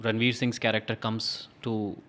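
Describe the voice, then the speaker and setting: A man's voice: fairly low pitch, completely flat delivery, normal speed, fairly bright tone. A man with an Indian accent chatting casually and with conviction on a podcast, telling stories informally and repeating points for emphasis. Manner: emphatic, informal storytelling with rhetorical repetition. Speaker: podcast guest. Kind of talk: casual opinionated podcast conversation.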